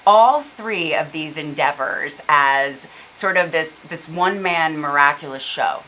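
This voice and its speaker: A woman's voice: low-pitched, measured pace, pushed and bright, slight background noise, low-quality recording. A woman hosting a local TV interview show, chatty and encouraging with her questions. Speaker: TV show host. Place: local TV studio.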